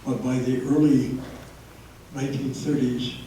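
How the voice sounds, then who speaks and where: A man's voice: mid-range voice, level tone, measured pace, warm tone, a little hiss or hum. A man giving a public history talk to an audience, with many hesitant fillers, recorded through a handheld microphone in a meeting room. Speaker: history presenter. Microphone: handheld microphone, held low at times. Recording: echoey room from far off.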